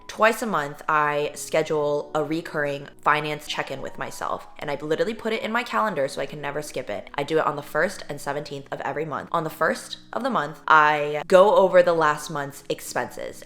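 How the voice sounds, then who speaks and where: A young woman in her twenties, whose first language was Korean, answering viewer questions in a bubbly, animated vlog. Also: low voice. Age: 20s. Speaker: vlogger. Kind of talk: casual Q&A vlog.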